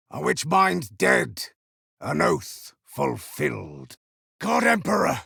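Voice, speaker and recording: An actor playing a battle-hardened, zealous space marine with a gravelly, forceful voice in a video game. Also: male voice, on the high side, no room sound, clean studio sound, full character voice. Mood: grim triumph. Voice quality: gravelly, rasping. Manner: forceful barked battle cries. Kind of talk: video game combat voice lines.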